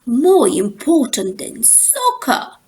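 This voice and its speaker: A woman voicing an excitable, easily upset young bear cub in a storybook, exclaiming dramatically. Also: high-pitched, slow, heavily acted. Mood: shocked.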